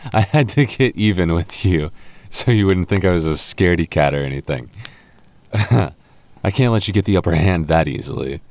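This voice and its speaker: Deep-voiced man, teasing boyfriend roleplay. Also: darkish tone.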